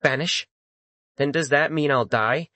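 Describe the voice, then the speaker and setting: A man's voice: on the high side, a little slow, pushed and bright, dry recording. A man voicing a male servant ghost in a dramatized audiobook, blustering or pleading with great urgency. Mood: fearful confusion. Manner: blustering, then pleading and servile. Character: panicked, cursing, groveling male servant ghost.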